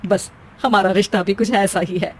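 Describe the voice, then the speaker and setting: A woman's voice: medium pitch, fairly even intonation, normal speed, a little mellow, husky. A woman voicing a bossy older mother-in-law in a comic family story, scolding and taunting dramatically.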